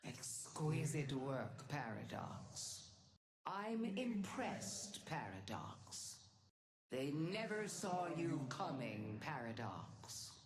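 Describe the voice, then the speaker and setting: A woman voicing an imperious, regal Archmother in a video game, stately, measured and commanding. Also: low-pitched, big pitch swings, roomy sound, exaggerated voice. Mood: regal approval. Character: imperious, regal female Archmother praising her champions. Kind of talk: scripted video game voice lines.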